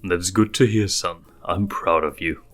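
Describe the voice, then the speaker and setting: Guy reading as a calm dad lion king, lowish voice, measured and authoritative, storybook w music. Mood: proud, kind.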